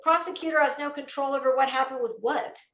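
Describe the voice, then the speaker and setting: A woman's voice: sits high, steady tone, fast talker, a bit bright, roomy sound. A woman hosting casual, critical commentary, blunt, emphatic and scornful in the way she talks. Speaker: YouTube commentary show host.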